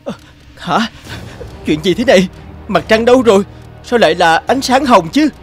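An excitable, greedy young peasant. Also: male voice, sits high, normal speed, faint music behind. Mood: shocked. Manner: dramatic, exclaiming, pleading. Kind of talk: animated fairy-tale character acting.

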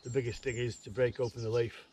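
A man, chatting casually to camera as an outdoors vlogger, unhurried and halting, often repeating words. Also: medium pitch, level tone, a little mellow. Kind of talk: casual rambling chat to camera. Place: outdoors in woodland. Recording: outdoor woodland ambience, clear background noise. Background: natural outdoor ambient noise.

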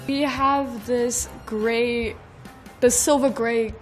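Bubbly teen girl, slightly high. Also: steady tone, extremely slow, soft background music. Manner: giggly, bubbly. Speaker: student performer.

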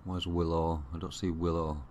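A man with a Yorkshire accent giving casual, unhurried gaming commentary, thinking aloud to himself. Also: low voice, flat delivery, darkish tone, slight background noise. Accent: Yorkshire English. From Yorkshire, England. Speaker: gamer commentating own play. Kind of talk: casual let's play commentary. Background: strategy game ambience.

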